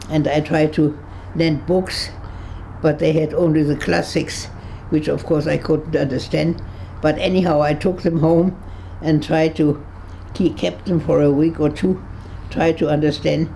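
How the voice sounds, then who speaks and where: A woman over one hundred, from Berlin, recalling her past in an interview, halting and searching for words with frequent restarts, and laughing easily with dry humor. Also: deep voice, fairly even intonation, vocal fry, mellow, a little hiss or hum, rough recording. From Berlin, Germany. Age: 100s. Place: her home on a kibbutz.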